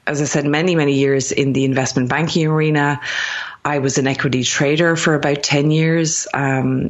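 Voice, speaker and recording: A woman's voice: low voice, dull audio. An Irish woman in her thirties, a company founder, talking as a guest in a chatty interview and often restarting her sentences. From Ireland. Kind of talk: podcast interview.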